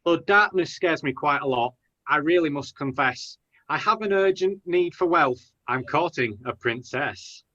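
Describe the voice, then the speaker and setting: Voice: medium pitch, animated, measured pace, pushed and bright, dull audio. A performer playing a poor, lovesick and timid lad, the hero of a rhyming pantomime, speaking earnest, plaintive verse. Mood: resolute.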